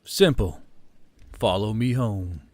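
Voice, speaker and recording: A man's voice: lowish voice, takes it slowly, darkish tone, no echo, pitched below the performer's own voice. A man voicing a commanding war leader in a fantasy audiobook, stern but merciful. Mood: stern, measured. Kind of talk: audiobook character acting.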